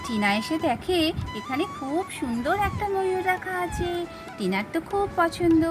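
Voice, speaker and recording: A woman's voice: very high voice, sing-song, normal speed. A woman narrating a simple children's story as its storyteller. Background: music.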